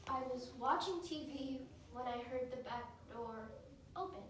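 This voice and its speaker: A woman performing a dramatic monologue as a grieving, guilt-ridden young woman, with emotional, deliberate delivery. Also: high voice. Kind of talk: competition dramatic interpretation monologue. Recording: echoey room, far mic, faint noise.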